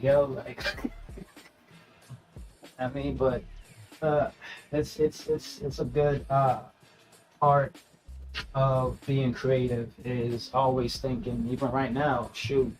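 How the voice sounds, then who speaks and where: A man's voice: mid-range voice, flat delivery, slow, long pauses, over music, low-quality recording. A man chatting casually in an interview, laid-back and slangy, laughing often and filling with "you know what I mean.". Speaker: rapper guest.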